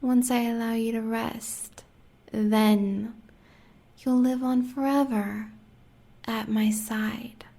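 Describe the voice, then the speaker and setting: A woman acts out a sadistic American werewolf huntress for an audio roleplay. Her voice sits fairly high for a woman and moves slowly, slipping into breathy whispers. She talks sweetly and menacingly, a devoted lover who enjoys cruelty. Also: steady tone, mellow.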